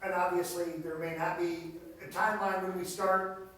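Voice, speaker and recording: A man's voice: high-pitched, flat delivery, moderate pace, a bit bright. A man on the council asking questions during a meeting. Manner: questioning, on a choppy remote line. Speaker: council member. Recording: echoey chamber from far off.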